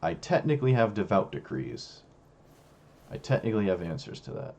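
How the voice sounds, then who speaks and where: A young man with a hoarse, failing voice, casually commenting on his own card game as a streamer, musing and self-critical. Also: lowish voice, sing-song, normal speed, darkish tone.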